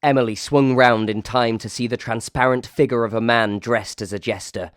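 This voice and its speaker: A man with a Northern English accent reading a comic ghost story aloud, lively, lifting into high voices for the quoted characters. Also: moderate pace, no room sound, clean studio sound. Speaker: reader.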